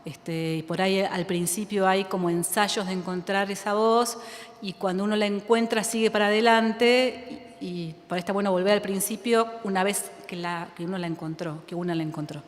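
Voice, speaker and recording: A woman's voice: medium pitch, steady tone, normal speed, a little hiss or hum. A woman writer from Argentina with an Argentine accent, speaking reflectively in a public conversation, thinking aloud with many fillers and restarts and frequent laughter. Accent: Argentine Spanish. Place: school auditorium with audience. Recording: reverberant hall.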